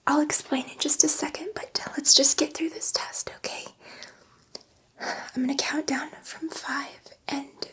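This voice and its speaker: A woman playing a gentle, curious alien scientist in an ASMR roleplay, speaking in a soft, breathy, reassuring whisper and repeating her phrases. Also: high-pitched, steady tone, unhurried. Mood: soothing, explaining.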